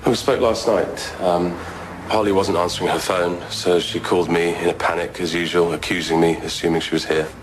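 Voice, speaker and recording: A man's voice: sits low, little pitch movement, a little fast, few pauses, noisy background, lightly acted. A man playing a weary, bitter man in his forties in an audio drama, curt and sardonic. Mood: weary, defensive. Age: 40s.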